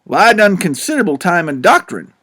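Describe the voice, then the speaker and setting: A man puts on a sly old con man from the backwoods, a bald preacher with a folksy, blustering drawl. The voice sits low and hushed next to the reader's own, with a lively swing. It is an audiobook character voice. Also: brisk, heavily acted. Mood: boastful. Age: older.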